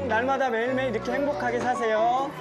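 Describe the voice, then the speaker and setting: A man's voice: extremely high pitch, little pitch movement, fast. A man on location in a floating village classroom, playfully teaching children a few words, recorded on a lapel mic. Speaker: travel presenter visiting a school. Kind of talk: casual on-location talk. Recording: on-location with music and crowd noise. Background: children's voices and music.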